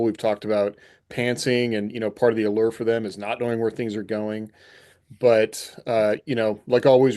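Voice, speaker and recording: A man's voice: mid-range voice, mellow. A man hosting a podcast, talking casually and explaining his points in a conversational way. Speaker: podcast host. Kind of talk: casual discussion of fiction writing.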